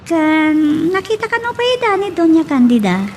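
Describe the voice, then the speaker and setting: A woman with an Ilocano accent playing an excitable, lovestruck young woman in an audio drama. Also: extremely high pitch, moderate pace, a little hiss or hum, exaggerated voice. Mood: excited.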